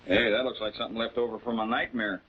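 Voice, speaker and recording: An actor playing a casual, folksy police sidekick in a 1940s television crime drama. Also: male voice, lowish voice, steady tone, quick pace, natural voice. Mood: musing. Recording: muffled, noisy old 1940s film soundtrack.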